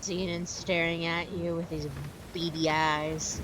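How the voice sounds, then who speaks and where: A woman's voice: on the low side, flat delivery, measured pace, noisy background. A woman from the United States chatting casually during a co-op game, playful and a little mock-dramatic. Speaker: co-op gaming partner. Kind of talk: casual chat while gaming. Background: video game sea and ship ambience.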